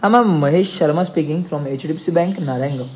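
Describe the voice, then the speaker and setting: A man's voice: on the high side, moderate pace, lightly acted. A man with a Maharashtrian accent playing a polite bank loan officer on a phone call. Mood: formal, polite. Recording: muffled audio with clear background noise.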